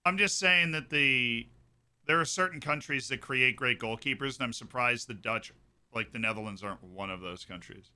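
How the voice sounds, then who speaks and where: Bright, sharp-toned young man. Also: medium pitch, moderate pace. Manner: chatty, jokey, repeats words when thinking. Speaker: streamer host of a football watchalong. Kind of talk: casual chat reacting to a live match.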